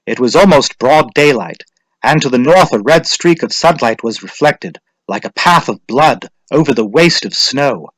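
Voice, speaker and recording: A man's voice: mid-range voice, animated, moderate pace, a bit bright, low-quality recording. A man narrating a scripted story as a dramatic reading.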